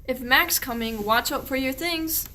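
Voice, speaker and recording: A woman's voice: sits high, measured pace, pushed and bright. A woman playing a wary, sardonic shepherd in a medieval radio play, speaking archaic rhyming lines. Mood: wary, scornful.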